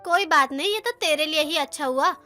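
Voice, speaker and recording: A woman's voice: high voice, brisk, bright, forceful tone, faint music behind. A woman playing a chatty, well-meaning neighbour in a Hindi cartoon story, gossipy and full of advice. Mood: cajoling. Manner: gossipy, advising, exclaiming. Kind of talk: animated moral story acting.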